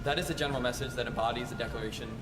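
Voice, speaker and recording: Man playing a brisk colonial revolutionary, noisy outdoors. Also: mid-range voice, steady tone, close to an everyday voice. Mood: matter-of-fact. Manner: earnest, formal wording. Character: male colonial revolutionary statesman-writer, earnest, resolute. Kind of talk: scripted mock interview answers. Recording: noisy amateur outdoor recording, traffic.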